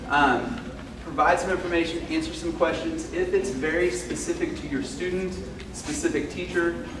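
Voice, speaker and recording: A man who teaches fifth grade science, speaking loudly and informally to parents at a school meeting, with many fillers. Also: a little high. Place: school classroom or hall. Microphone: far camera microphone. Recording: echoey room, far from the mic, noisy.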